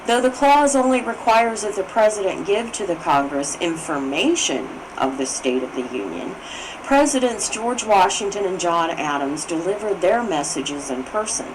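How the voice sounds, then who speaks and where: A woman reading legal text and commentary aloud in a formal, measured and careful way, occasionally stumbling on a word. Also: mid-range voice, background noise. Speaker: reader, state assembly coordinator.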